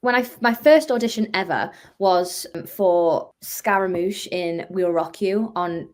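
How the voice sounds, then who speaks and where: A woman in her twenties with a Northern English accent, talking casually and animatedly as an interview guest. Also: a little slow. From North of England. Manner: chatty, animated storytelling, acts out quotes in a higher voice. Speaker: guest, musical theatre performer.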